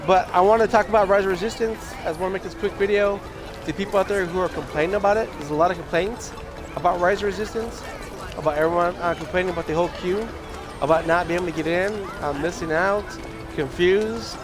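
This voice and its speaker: Hoarse, high-voiced man rambling on stream. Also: quick pace. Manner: rambling, with many restarts. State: hoarse, losing his voice. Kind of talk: casual livestream chat. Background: video game music.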